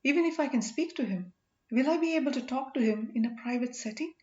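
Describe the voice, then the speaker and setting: A woman's voice: sits high, quick pace, a little mellow, voice pushed up, close to an everyday voice. A woman voicing a loyal, teasing boatman husband in an audiobook, playful and earnest. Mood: thoughtful, purposeful. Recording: echoey room, dull lo-fi sound.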